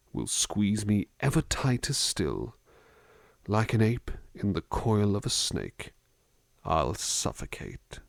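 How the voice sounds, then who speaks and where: A man acting as a gentle, attentive butler for an ASMR roleplay. He speaks very slowly in a low voice, close and dry on the mic, with a touch of gravel. His manner is polite and musing, often hesitant as he rambles softly to the person in his care. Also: no room sound, full character voice. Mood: somber reading. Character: gentle, musing, attentive male butler looking after someone. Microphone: close dry microphone.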